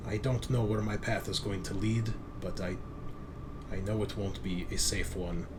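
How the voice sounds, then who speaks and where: A man voicing a guarded, resigned woman in a tabletop game, speaking softly and solemnly. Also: lowish voice, steady tone, normal speed, background noise, pitched below the performer's own voice, close to an everyday voice. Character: guarded, resigned woman warning away her crewmates.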